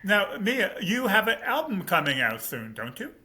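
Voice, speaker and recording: A man's voice: sits high, very animated, moderate pace, sharp tone. A man hosting a podcast interview, asking questions with dry, wry humor. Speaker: podcast host. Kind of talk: casual interview chat.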